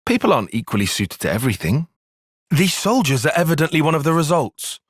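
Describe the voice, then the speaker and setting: A man's voice: on the high side, big pitch swings, fast, slightly rough, dry recording, clean studio sound. A man playing an earnest, analytical young soldier in a fantasy video game, speaking with precise, formal phrasing. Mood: serious, analytical. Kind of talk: video game cutscene acting.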